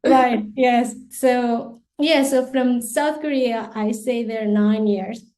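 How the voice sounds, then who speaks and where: A woman's voice: on the high side, steady tone, slow pace, warm tone, low-quality recording. A woman from Colombia whose first language is Spanish, speaking as a guest in a podcast interview, thoughtful and full of restarts. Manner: thoughtful, many restarts and repeated words, light laughs.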